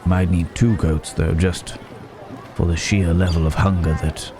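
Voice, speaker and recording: Courteous werewolf in human guise, played by a man, for ASMR roleplay: deep, unhurried, wary and wordy. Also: flat delivery. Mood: pondering. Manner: hesitant, musing, old-fashioned wordy phrasing. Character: courteous city-bred man secretly a werewolf, thoughtful, wary. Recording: market crowd and outdoor ambience noise. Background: bustling village market ambience.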